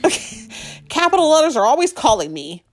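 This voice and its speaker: A woman voicing an excitable male exclamation mark from a storybook, emphatic and dramatic, who craves calm. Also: sits high, a little fast, pitched above the performer's own voice. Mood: worked up.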